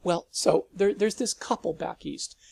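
A man voicing a nervous, earnest teenage boy who stammers and rushes his words in a storybook reading. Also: high-pitched, creaky, darkish tone, slightly rough, no room sound, pitched above the performer's own voice, full character voice.

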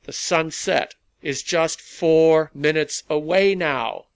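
A man voicing a confident, defiant activist leader in a story, declaiming loudly and deliberately to rally a huge crowd. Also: fairly high pitch, smooth. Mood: solemn, rousing. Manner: declamatory, loud, deliberate oratory with drawn-out words. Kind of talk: speech in a narrated story.

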